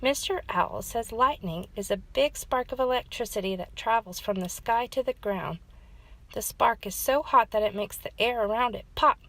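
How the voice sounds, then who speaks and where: A woman's voice: on the high side, normal speed, a bit bright. A woman voicing a sensible, matter-of-fact young beaver who explains things in a children's storybook. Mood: explaining.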